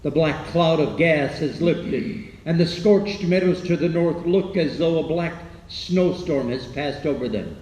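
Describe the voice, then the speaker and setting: An actor playing a weary, frightened survivor of an alien invasion in a halting dramatic radio monologue. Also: male voice, a little high, darkish tone, echoey room, lightly acted, low-quality recording. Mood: weary, bleak. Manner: halting, hesitant delivery with stammered repeats.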